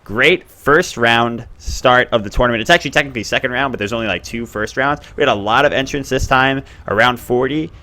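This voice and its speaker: A man hosting and commenting on a game tournament in a chatty, excitable way. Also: brisk, few pauses. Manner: chatty, excitable, bursts up in pitch at plays. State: expects to lose his voice.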